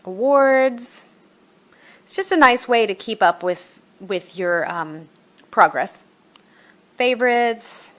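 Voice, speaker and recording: A woman's voice: a little high, lively intonation, slow pace, pauses often, fairly bright tone. Middle-aged woman giving a casual walkthrough. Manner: conversational, thinking aloud while navigating. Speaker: homeschool curriculum reviewer. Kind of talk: casual screen walkthrough review.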